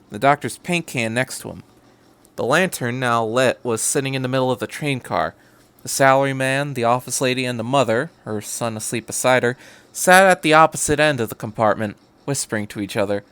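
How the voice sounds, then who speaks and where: A man's voice: mid-range voice, a bit bright, smooth. A man narrating a story aloud in a dramatic reading style, as a podcast host.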